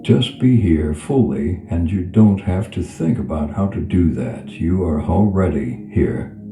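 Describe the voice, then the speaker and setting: A man guiding a meditation in a soothing, unhurried manner, leaving long pauses between phrases. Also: low voice, animated. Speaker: meditation guide. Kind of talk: guided meditation. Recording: reverberant voice over clear background music. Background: background music.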